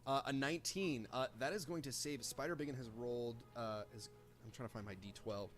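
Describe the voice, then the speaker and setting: A man narrating a live tabletop game as game master, improvising in a halting way as he searches for words. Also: mid-range voice, soft background music.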